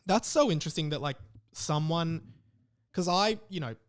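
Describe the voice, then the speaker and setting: Higher-voiced Australian man, casual podcast host. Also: animated, normal speed. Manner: rambling, interrupts his own reading with asides. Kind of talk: casual chat, reading out listener messages.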